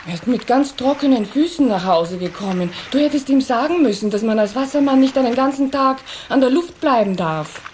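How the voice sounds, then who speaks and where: High-pitched, scolding water-sprite mother. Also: expressive, a little fast, hardly any pauses, darkish tone. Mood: reproachful. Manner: reproachful, bossy, fretful. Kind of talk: children's radio play acting. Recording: cassette recording with faint hiss.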